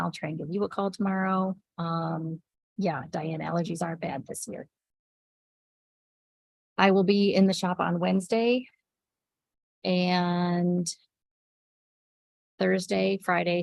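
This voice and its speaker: Gravelly woman chatting with viewers. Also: on the low side, no room sound. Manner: chatty, reads out viewer comments by name, many ums. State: sniffly and a little raspy from a cold. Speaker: yarn shop owner hosting a weekly livestream. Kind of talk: casual chat with viewers.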